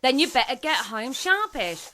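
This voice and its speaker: A woman plays a brusque Victorian pub landlady on stage. Her voice is high and sharp, with big swoops of pitch. She scolds and bosses with a cutting tongue. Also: sing-song, moderate pace, exaggerated voice. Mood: bossy, mocking. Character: bossy, sharp-tongued female tavern landlady. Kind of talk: stage drama acting.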